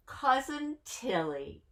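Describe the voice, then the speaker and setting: A woman reading a picture book to children expressively, putting questions to her young listeners. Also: high-pitched. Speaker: children's story reader.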